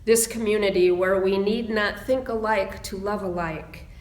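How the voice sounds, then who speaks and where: A woman minister leading a reflective prayer and meditation in a solemn, measured way with deliberate phrasing. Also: medium pitch, steady tone. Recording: roomy, echoing sound.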